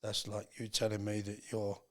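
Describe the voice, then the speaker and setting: A man's voice: on the low side, monotone, moderate pace, a bit bright. A man in his sixties talking casually and plainly as a podcast guest, with emphatic phrasing. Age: 60s. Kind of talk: casual chat.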